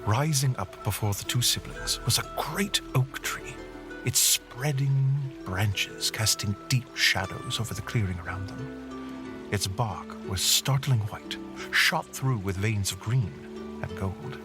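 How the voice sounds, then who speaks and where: A man with a fairly low voice narrates a fantasy story. He is measured and dramatic, reading storybook prose over clearly audible music. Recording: music under the voice.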